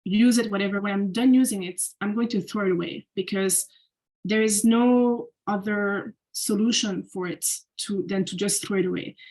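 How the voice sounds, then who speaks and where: A woman's voice: medium pitch, a little mellow, low-quality recording. A young woman from Belgium giving a slide presentation as a podcast guest, talking casually with lots of "like" fillers and restarts. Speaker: guest speaker.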